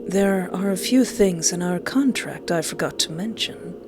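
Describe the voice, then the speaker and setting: Woman voicing a hushed, sinister wish-granter. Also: on the low side, expressive. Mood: coldly sinister. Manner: slow, hushed, deliberate. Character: sinister, smooth-talking wish-granter, possessive and menacing suitor. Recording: music playing behind the voice.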